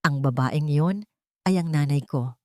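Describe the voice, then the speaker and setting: A woman's voice: deep voice, measured pace, dark tone, no room sound, studio quality. Woman voicing a bitter, scheming male villain. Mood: bitter anger. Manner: cold, taunting, menacing. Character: bitter, vengeful scheming man, cold villain. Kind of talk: melodramatic audio drama acting.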